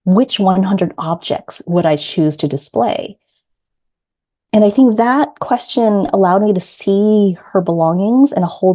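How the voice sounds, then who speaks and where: A middle-aged woman with a New York accent, a grief coach guest telling her story in an earnest, measured way. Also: medium pitch, mellow. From New York City. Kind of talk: reflective personal storytelling.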